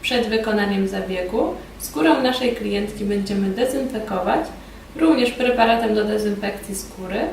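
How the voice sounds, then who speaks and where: A woman giving formal, measured, scripted instructional narration as a presenter in a training film. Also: mid-range voice, darkish tone. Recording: roomy echo, distant mic, background noise.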